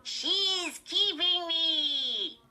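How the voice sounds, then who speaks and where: A mouthy wooden ventriloquist's dummy, shrill and squeaky through gritted teeth. Every taunt is pitched far up and squeezed tight, in a childish storybook style. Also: female voice, lively intonation, sharp tone, exaggerated voice. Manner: drawn-out, taunting.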